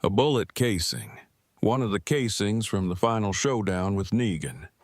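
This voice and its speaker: A man playing an earnest, bookish, devoted husband in an audio drama, speaking in formal, wordy, measured phrases. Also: lowish voice, animated, no echo, studio quality. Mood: matter-of-fact. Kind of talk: fan audio drama acting.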